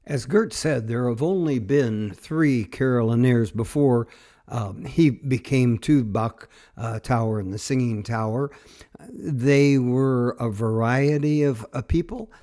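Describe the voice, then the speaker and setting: Mellow, halting man narrating a travelogue. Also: mid-range voice. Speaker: travel show host and narrator.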